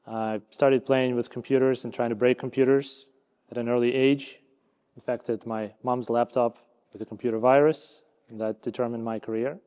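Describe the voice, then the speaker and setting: A man born in Ukraine and raised in Canada, moderating a panel on a conference stage with smooth, prepared introductions. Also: mid-range voice, warm tone. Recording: long hall reverb.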